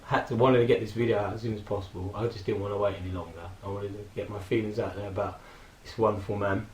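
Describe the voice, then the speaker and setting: A man in his forties, hoarse from a throat infection, casually rambling and reminiscing with frequent fillers and laughs. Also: fairly low pitch, fairly even intonation, fast talker, darkish tone, roomy sound, slight background noise, rough recording. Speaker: vlogger. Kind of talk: casual personal tribute monologue.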